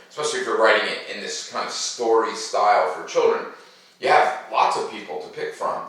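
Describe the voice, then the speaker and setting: A man in middle age hosts a children's read-aloud with a bright, forward voice. His pitch moves up and down freely, and he tells things in an emphatic, storytelling way. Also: breathy edge, low-quality recording. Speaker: read-aloud host reading a children's picture book. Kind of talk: expressive children's storybook narration, with casual chat to viewers.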